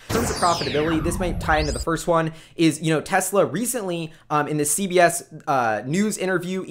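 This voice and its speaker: A man with a fairly high-pitched voice speaks as the host of an investing channel. He addresses his followers in casual, informal commentary. His manner is earnest and enthusiastic.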